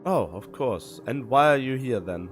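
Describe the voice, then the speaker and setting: A man streaming a game, commenting casually and haltingly as he thinks out loud. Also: medium pitch, animated. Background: game music.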